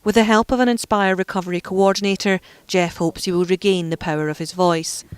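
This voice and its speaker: Smooth-voiced woman news reporter. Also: lowish voice, dry recording. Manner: even, measured delivery. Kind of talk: scripted news narration.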